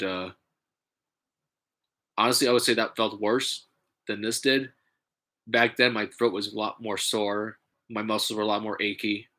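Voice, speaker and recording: A man's voice: fairly low pitch, pushed and bright. Congested man rambling on a vlog. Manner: halting, many fillers and restarts. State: congested, stuffy nose. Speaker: vlogger.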